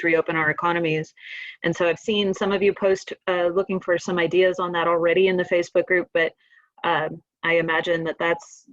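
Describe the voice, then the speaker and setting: Woman, slightly low voice, folksy encouraging meeting host, dry, slightly muffled call audio. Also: fairly bright tone, dry recording. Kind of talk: informal, chatty meeting hosting. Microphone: conference call line.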